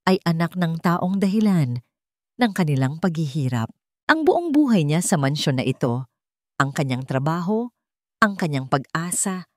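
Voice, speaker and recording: A woman narrating a scripted dramatic story in measured, short phrases. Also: fairly low pitch, a little mellow, dry recording, clean studio sound.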